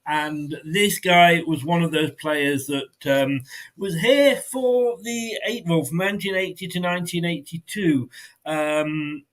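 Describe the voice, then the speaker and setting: A man with a fairly high voice hosts an interview in a light, joking way. He laughs easily and keeps the talk upbeat while introducing his guest. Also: slow, a bit bright, rough recording. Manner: jokey and upbeat, laughs often. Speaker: host. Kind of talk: casual interview.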